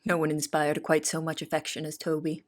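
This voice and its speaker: A woman's voice: low voice, completely flat delivery, fairly quick, close to an everyday voice. A woman playing an earnest young cook in an audio drama, narrating in formal, literary wording. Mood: polite, eager. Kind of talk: audio drama first-person narration and dialogue.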